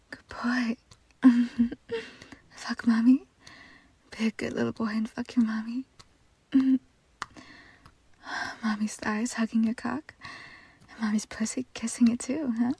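Woman, soft breathy whisper, husky and dark, unhurried delivery. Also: on the high side. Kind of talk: intimate whispered roleplay audio.

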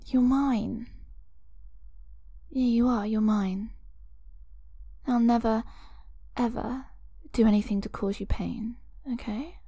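A woman voicing an obsessive young woman who holds her lover captive, sweet on the surface and cruel beneath, talking in breathy whispers and giggles. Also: a little high, steady tone, slow pace, long pauses, warm tone, no room sound, heavily acted. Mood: cooing. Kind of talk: audio roleplay drama.

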